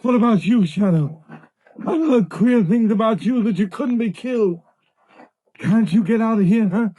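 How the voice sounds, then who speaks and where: An actor playing a cowardly, pleading small-time crook, fearful and halting, in 1930s radio melodrama. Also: male voice, high voice, mellow, low-quality recording. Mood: desperate. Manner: fearful, halting, repeats words. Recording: vintage 1930s radio broadcast.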